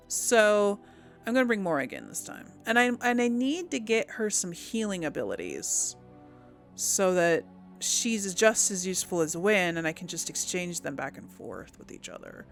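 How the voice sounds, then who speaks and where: A woman's voice: on the low side, sing-song, normal speed, vocal fry, faint music behind. A woman giving casual let's play commentary, chatting and thinking aloud as she goes. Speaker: gaming channel host.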